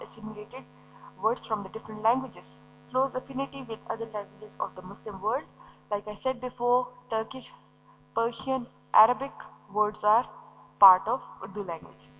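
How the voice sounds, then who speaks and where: Woman lecturer, fairly high, bright voice, explains step by step, faint hum underneath. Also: low-quality recording. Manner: explanatory, poses and answers her own questions. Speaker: teacher. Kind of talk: recorded classroom lecture.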